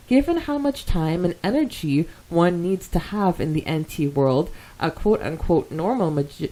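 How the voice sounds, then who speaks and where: A woman's voice: fairly low pitch, dark tone, rough recording. Giggly, slangy woman on a fan podcast. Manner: giggly, girlish slang with frequent "like". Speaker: podcast co-host. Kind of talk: casual fan chat, reading listener comments aloud.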